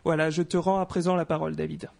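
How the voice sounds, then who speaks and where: A man with a notably high voice reads a European news roundup in a local radio studio. He keeps a scripted, steady news delivery and drops in light self-mocking asides. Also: creaky. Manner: reads briskly, adds self-mocking apologies about pronunciation. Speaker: radio news segment presenter.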